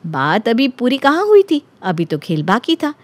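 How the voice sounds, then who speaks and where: A woman narrating a scripted animated story as its storyteller. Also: on the high side, normal speed, no room sound.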